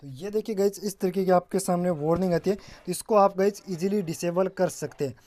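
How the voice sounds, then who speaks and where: A man's voice: high voice, a little fast, dark tone. A man presenting a casual step-by-step tech tutorial, often addressing viewers as guys and mixing in English terms.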